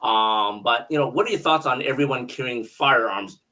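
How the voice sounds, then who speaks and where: A man's voice: mid-range voice, moderate pace, bright, forceful tone, rough recording. A man hosting a casual interview, asking questions in a conversational, encouraging way. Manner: encouraging, affirming the guest. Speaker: podcast host, interviewer.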